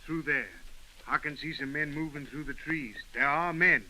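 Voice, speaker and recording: An actor playing an anxious, loyal army major in a 1930s radio drama, earnest and deferential. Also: male voice, fairly high pitch, normal speed, poor audio. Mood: uneasy. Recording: old radio recording, loud crowd sounds.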